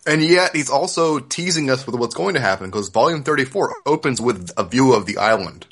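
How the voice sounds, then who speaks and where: A man's voice: mid-range voice, lively intonation, fairly quick, a bit bright, slightly rough. A man co-hosting a podcast, talking casually and analytically with emphasis as he discusses a series. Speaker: podcast co-host.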